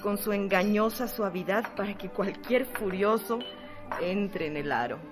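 A composed woman an actress narrates in a measured, literary style. Her medium-pitched voice sits over clear music, as in a radio novel. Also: close to an everyday voice. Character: composed literary narrator portraying a cantina boss. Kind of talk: scripted radio drama narration.

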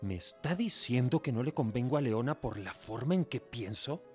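An actor playing an earnest, proud young suitor in a radio drama, speaking formally. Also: male voice, medium pitch, lively intonation, moderate pace, a little mellow, soft background music, natural voice. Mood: pleading. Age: young adult.

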